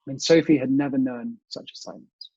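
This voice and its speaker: A man, a guest reader who chats casually and reads storybooks aloud in a measured, expressive way over a video call. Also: medium pitch, breathy edge. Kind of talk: storybook reading and casual chat. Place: indoors, remote online session. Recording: muffled, echoey video call audio.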